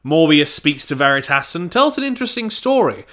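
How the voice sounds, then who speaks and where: A man's voice: fairly high pitch, sing-song, fairly quick, fairly bright tone. A man reading a scripted review of an audio drama in a casual, wry manner.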